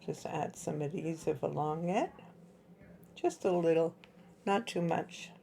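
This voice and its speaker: Husky low-voiced woman teaching a craft. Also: measured pace, mellow. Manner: chatty, explains while working with her hands. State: slightly hoarse from dry air. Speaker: craft tutorial host. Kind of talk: relaxed step-by-step craft tutorial.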